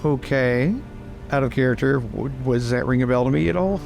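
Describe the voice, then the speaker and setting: A man speaks as a player in a tabletop game, his mid-pitched voice heavy with fry. He improvises casually and tentatively, working things out aloud. Music is clearly audible behind him. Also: moderate pace. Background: background music.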